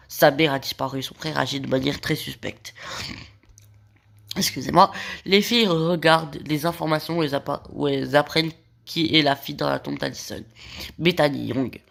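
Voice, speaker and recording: A man's voice: fairly high pitch, gravelly, fairly bright tone. A man with a cold summarizing a TV series plot for a video, often stumbling over his words and correcting himself. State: has a cold. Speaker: video creator. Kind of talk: series recap narration.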